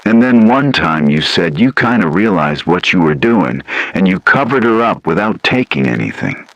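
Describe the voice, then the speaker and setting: Man, interviewer, extremely low pitch, informal conversational questioning. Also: very animated, normal speed, fairly bright tone, no echo, lo-fi sound.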